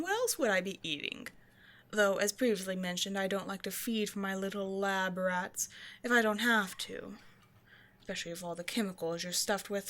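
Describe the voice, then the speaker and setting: A woman's voice: mid-range voice, a bit bright, close to an everyday voice. Woman acting as a teasing, haughty vampire scientist. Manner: playful, condescending, mock-sweet, theatrical. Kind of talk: scripted ASMR roleplay monologue addressed to the listener.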